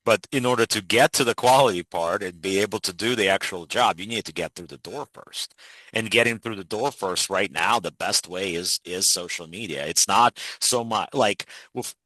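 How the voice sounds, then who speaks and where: A man's voice: lowish voice, moderate pace, pushed and bright, no room sound, low-quality recording. A man from Ukraine hosting a podcast, talking in an earnest, persuasive way with frequent restarts. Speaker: podcast host. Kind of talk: casual interview chat.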